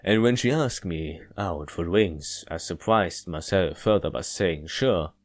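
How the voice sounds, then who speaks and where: A man reading as an aloof, withdrawn male narrator of a visual novel, reflective and deadpan. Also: deep voice, a little slow, no room sound.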